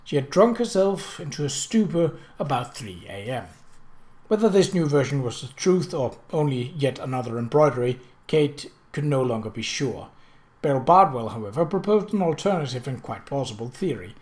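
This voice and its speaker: A storyteller reads a period mystery novel in a formal, expressive way. The voice sits at a middle pitch, between low and high. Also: moderate pace. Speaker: audiobook reader.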